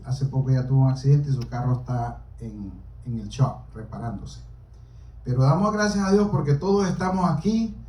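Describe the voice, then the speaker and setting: A man preaching to a small congregation in a halting, deliberate way, explaining scripture as he goes. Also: mid-range voice, warm tone. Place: small church during a service. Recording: roomy, echoing hall, distant microphone.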